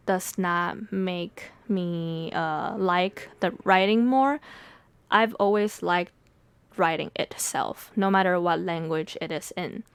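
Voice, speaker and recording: Smooth-voiced young woman, native Mandarin speaker. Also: fairly low pitch, no echo. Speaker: podcast host and writer. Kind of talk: reflective podcast monologue.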